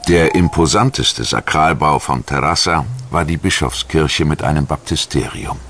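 Very deep, gravelly male documentary narrator. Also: moderate pace, a bit bright, slight background noise. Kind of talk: scripted narration.

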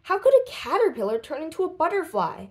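A woman voicing an eager, naive, excitable boy in a children's storybook, full of wonder. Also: extremely high pitch, brisk, voice pushed up. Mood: curious.

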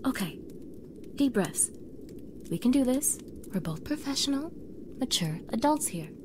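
A fairly high-pitched young mean girl acted by a woman in an audio drama. She is bossy and snippy, talking to the listener with exasperated sarcasm. Clearly audible ambient noise runs behind her voice. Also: sing-song, a little slow. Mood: flustered, resigned. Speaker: audio roleplay performer.

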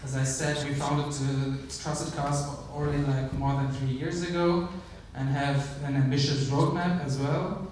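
A man giving a startup pitch on stage, measured, with frequent ums and restarts. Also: level tone, darkish tone, slight background noise. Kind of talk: conference pitch. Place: large theater stage. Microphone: handheld mic, filmed from the audience. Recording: echoey hall from far off.

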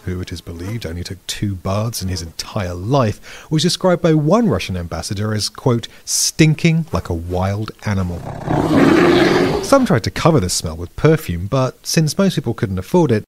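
A British man giving a scripted podcast explanation, measured and dryly witty. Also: fairly low pitch, big pitch swings, a little mellow, husky.

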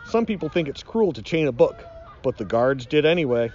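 A man's voice: fairly high pitch, sing-song, fairly quick, darkish tone, lo-fi sound. A middle-aged man reading a children's storybook aloud in a dramatic, mock-ominous way. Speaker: nature educator reading aloud.

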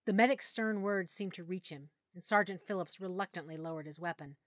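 A woman narrating a full-cast audiobook in first person, with expressive, clear storytelling. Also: on the low side, a little fast, dry recording, studio quality. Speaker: narrator and lead character.

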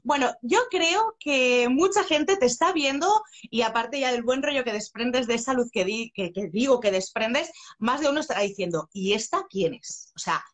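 A woman's voice: a little high, expressive, moderate pace, hardly any pauses. A bubbly, effusive woman with an accent from Spain, chatting casually as host of an interview from a room at home. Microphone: phone held close, live video call.

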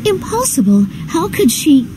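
A woman's voice: sits high, sing-song, moderate pace, noisy background. An actress playing an earnest, caring young woman fighter in a video game, speaking with intensity. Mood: shocked, indignant. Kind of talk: video game cutscene acting.

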